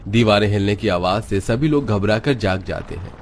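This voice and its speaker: A man reading scripted narration for an animated family story. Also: fairly low pitch, normal speed, a little hiss or hum.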